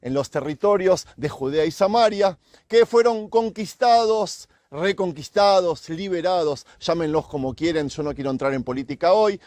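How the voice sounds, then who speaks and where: A man with a somewhat high voice talks in a relaxed, offhand way, as in a travel vlog. He is recorded close and dry. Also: moderate pace, no room sound. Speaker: video host interviewing a man.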